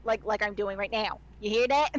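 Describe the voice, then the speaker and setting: A woman with a nasal Midwestern American accent, chatting excitedly as a guest on a livestream and swearing freely. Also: medium pitch, normal speed. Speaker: guest voice actor. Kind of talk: casual livestream chat.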